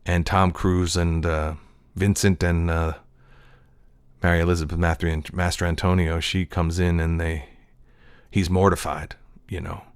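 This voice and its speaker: A man's voice: deep voice, flat delivery, unhurried, pauses often, clear, resonant tone, dry recording. A male voice-over actor talking in a casual, digressive solo monologue from his desk. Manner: digressive, reflective storytelling.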